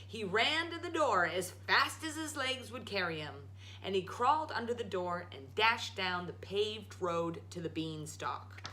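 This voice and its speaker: A woman storyteller reading a children's story aloud in a dramatic, emphatic, expressive way. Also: mid-range voice, unhurried. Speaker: storyteller, show host.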